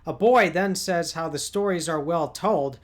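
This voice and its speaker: A man's voice: a little high, a little slow. A man reading a scripted recap of a cartoon episode as a video essay narrator.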